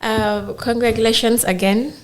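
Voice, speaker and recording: A woman's voice: a little high, fairly even intonation, moderate pace. Polite woman hosting an interview. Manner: polite, formal welcomes and thanks. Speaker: host.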